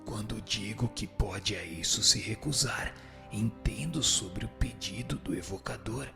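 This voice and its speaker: Gravelly, solemn Brazilian spirit, played by a man. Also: lowish voice, unhurried. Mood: solemn, instructive. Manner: solemn, didactic, formal. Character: solemn, instructive male spirit answering questions. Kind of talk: scripted radio drama dialogue, formal doctrinal answers. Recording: music under the voice. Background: background music.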